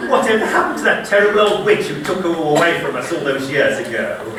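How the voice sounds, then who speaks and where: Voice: mid-range voice, sing-song, quick pace, natural voice. A performer playing a gossipy, jokey royal nanny, a pantomime dame with a cooing, exaggerated comic manner. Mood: comic, indignant. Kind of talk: theatrical pantomime acting. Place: stage in a theatre hall. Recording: echoey hall with audience, from far off.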